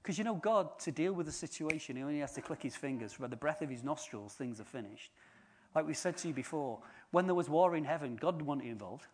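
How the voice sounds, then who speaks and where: A man's voice: on the high side, expressive, a little fast, darkish tone, slight background noise. A man giving an informal sermon, chatty and joking with the congregation. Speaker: preacher.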